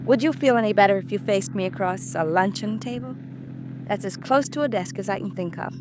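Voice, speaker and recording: A woman's voice: medium pitch, a little fast, lightly acted. Poised society wife played by a woman, noisy recording. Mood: coy, teasing. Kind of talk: 1950s radio drama acting. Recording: noisy vintage radio recording, car sounds behind.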